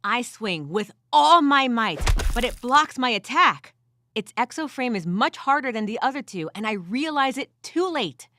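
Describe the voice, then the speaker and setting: A woman's voice: a little high, very animated, normal speed, sharp tone, no room sound, voice pushed up. A woman voicing a weary but gritty furred female adventurer who tells her story in the first person with wry asides, in an audio drama style. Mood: tense, urgent.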